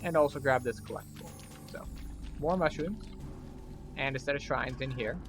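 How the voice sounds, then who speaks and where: A man's voice: mid-range voice, normal speed, over music. A man giving a casual walkthrough commentary as he plays a video game. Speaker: gameplay guide and streamer.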